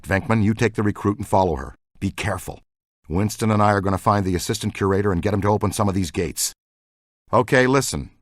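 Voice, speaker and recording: An actor playing an excitable, geeky male scientist who investigates the paranormal in a video game, earnest and full of technical jargon. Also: lowish voice, no room sound, clean studio sound. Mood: urgent, instructing. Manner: earnest, technical jargon, swings into boyish enthusiasm. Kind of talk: scripted game dialogue.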